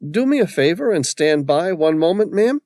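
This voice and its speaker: A man's voice: on the high side, sing-song, normal speed, a little mellow, dry recording, clean studio sound, pitched above the performer's own voice. A male narrator voicing a wry, practical woman paramedic in a storybook reading. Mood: professional.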